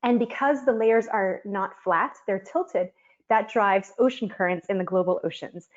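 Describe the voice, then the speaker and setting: A woman's voice: mid-range voice, measured pace. Woman oceanographer presenting over a video call. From Miami, Florida. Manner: friendly, explanatory, with frequent ums. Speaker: physical oceanographer. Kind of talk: online science presentation and demo. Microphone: webcam on a video call. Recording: dull lo-fi video call audio.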